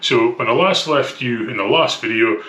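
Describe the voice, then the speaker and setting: Bright-voiced Scottish man, echoey room. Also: medium pitch, normal speed, a little hiss or hum. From Scotland. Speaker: vlogger. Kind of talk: casual personal vlog to camera.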